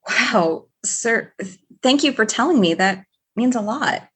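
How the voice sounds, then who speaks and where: A woman's voice: fairly high pitch, animated, unhurried, fairly bright tone, husky, heavily acted. A woman acting an eager, deferential station officer, earnest and easily flustered, in an audio drama. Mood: stunned, grateful.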